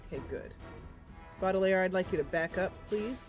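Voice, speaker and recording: A woman's voice: on the low side, brisk, soft background music. A woman giving casual, chatty let's play commentary, thinking aloud and reacting as she plays. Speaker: gaming channel host.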